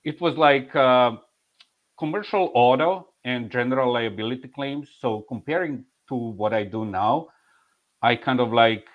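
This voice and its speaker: A man in his forties from Serbia with a Serbian accent, an insurance claims manager telling his story in a relaxed interview, halting and full of restarts and soft laughs. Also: medium pitch. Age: 40s. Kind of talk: casual interview answers. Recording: muffled, lo-fi audio.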